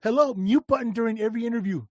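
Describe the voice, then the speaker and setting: A man's voice: very high-pitched, very fast, no echo, clean studio sound. A man co-hosting a podcast, making snarky, impatient jabs in casual banter. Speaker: podcast co-host.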